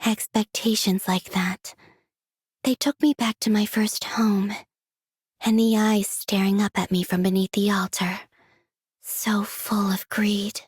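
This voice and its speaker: An actress voicing a gentle, aloof young woman in a video game, with a soft breathy voice and unhurried, wistful, quietly curious delivery. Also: medium pitch, level tone, no echo, studio quality. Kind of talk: scripted game character voice lines.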